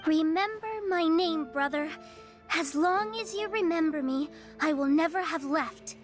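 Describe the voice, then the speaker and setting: A very high, small little-girl voice, speaking slowly and hesitantly. She is timid and apologetic, stammering like a shy child in a video game, with faint music underneath. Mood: solemn.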